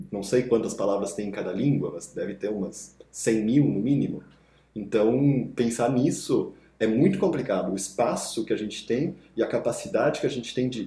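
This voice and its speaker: A man with a warm, mellow tone, musing aloud and building his points from everyday examples. He speaks in a mid-range voice in an easygoing discussion. The sound carries a roomy echo. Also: mid-range voice. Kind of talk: conversational podcast talk.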